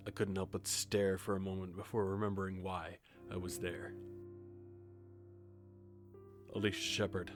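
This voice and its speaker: Man playing grim reaper, very deep pitch, even restrained delivery, detached, first-person audio drama narration. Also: no room sound, natural voice. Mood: solemn, insistent. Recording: music behind the voice. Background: music with guitar.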